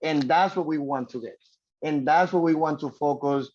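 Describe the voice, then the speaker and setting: A man from the Republic, a transportation official giving earnest, halting testimony at a council hearing. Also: on the high side, darkish tone, muffled recording. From Dominican Republic. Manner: earnest, halting, repeats words.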